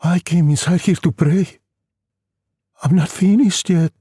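A man voicing a weary, frightened, devout wife and mother in a story, plaintive and insistent, with a breathy voice. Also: high-pitched, unhurried, dark tone, dry recording, clean studio sound, voice pushed up. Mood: weary, pleading.

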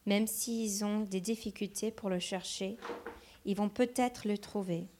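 Woman translating a sermon live, slow, stops and restarts a bit. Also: mid-range voice, fairly even intonation, dark tone, a little hiss or hum. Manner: translating phrase by phrase with hesitations. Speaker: interpreter.

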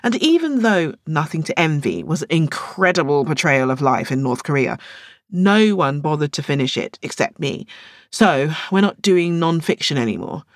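A woman reads a novel aloud in an expressive, scripted style. Her voice is fairly low and very varied in pitch, recorded dry with no room sound. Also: normal speed, no echo. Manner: expressive storytelling. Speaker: audiobook narrator.

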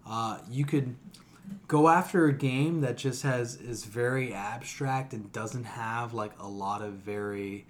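A man's voice: mid-range voice, unhurried. A man co-hosting a podcast, chatting casually and emphatically with plenty of opinions and casual swearing. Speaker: podcast co-host.

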